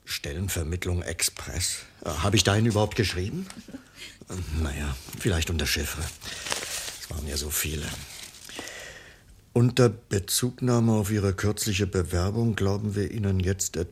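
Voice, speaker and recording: An actor playing a modest, wryly resigned middle-aged security man, halting and reserved, in a German radio crime comedy. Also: low-pitched, a little hiss or hum. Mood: weary, resigned. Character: ageing security man, modest, bitter after being sacked.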